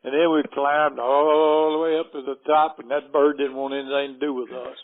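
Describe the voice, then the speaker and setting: A man's voice: on the high side, little pitch movement, moderate pace. An older man from Alabama with a Southern accent, telling outdoor stories as a podcast guest in a folksy, chuckling way. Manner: folksy, chuckling between anecdotes. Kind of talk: casual storytelling chat. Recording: narrow phone-like podcast audio.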